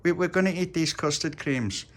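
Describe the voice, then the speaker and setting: Tony, as a man puts him on, a high eager little boy. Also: moderate pace, natural voice. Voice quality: high, breathless child voice. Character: excitable generous young boy on his birthday.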